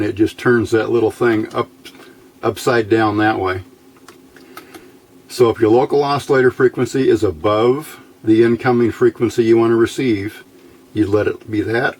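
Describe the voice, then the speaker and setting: A man's voice: on the low side, husky. A man giving a casual hands-on demonstration as an electronics hobbyist, talking in a folksy, informal, rambling way.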